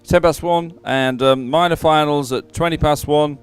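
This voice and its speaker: A man giving live sports commentary in a chatty, somewhat halting announcing style. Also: a little high, steady tone, clear, resonant tone.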